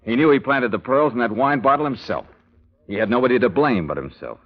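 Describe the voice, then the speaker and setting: A man's voice: mid-range voice, animated, a little fast. An American man acting a wry, no-nonsense private investigator in a 1940s-style radio drama. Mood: matter-of-fact. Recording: dull vintage radio recording.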